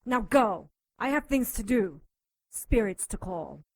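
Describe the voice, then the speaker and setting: A woman's voice: mid-range voice, big pitch swings, slow, vocal fry, no echo, exaggerated voice. Female occult investigator for radio drama: mid-range, sardonic, bossy, no-nonsense. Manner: commanding, sarcastic, threatening. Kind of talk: audio drama acting.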